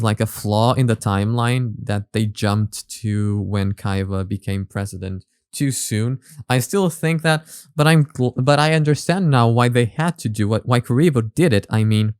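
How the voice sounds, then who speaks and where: A man hosting a reaction video with casual, halting commentary, often repeating words as he goes. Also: mid-range voice, darkish tone, clear, resonant tone, no echo, clean studio sound.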